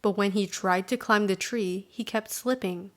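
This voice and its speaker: A woman's voice: medium pitch, fairly even intonation, normal speed. A woman with a mid-range voice tells a children's folktale. She delivers it as scripted storybook narration.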